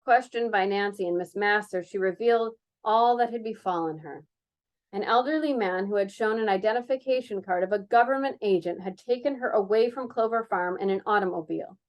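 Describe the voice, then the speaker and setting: A woman reading a mystery novel aloud in an animated, theatrical way, breaking in with amused asides. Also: medium pitch, fairly quick. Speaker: reader of a children's mystery novel.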